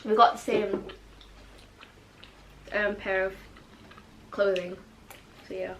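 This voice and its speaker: A woman's voice: mid-range voice, level tone, takes it slowly, poor audio. Late-teen woman, laid-back, short casual remarks, faint background noise. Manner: laid-back, brief interjections. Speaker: vlogger, younger sister. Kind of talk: casual chat while eating.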